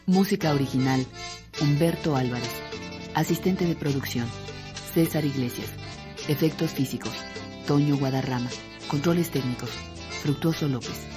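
Male credits announcer over loud guitar. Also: fairly high pitch, moderate pace, pauses often. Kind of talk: scripted credits reading. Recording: loud guitar music under voice. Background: guitar music.

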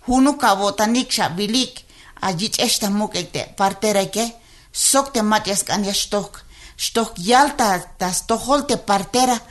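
A woman from Tenejapa, Chiapas, a traditional midwife, speaking in an interview in a halting way with fillers and Spanish words mixed in. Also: medium pitch. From Tenejapa, Chiapas, Mexico. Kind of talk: recorded interview statement.

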